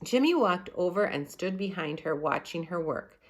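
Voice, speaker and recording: A woman reading a children's story aloud in an animated, expressive storytelling manner. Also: on the low side, moderate pace. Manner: animated, acts out the dialogue. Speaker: storybook reader.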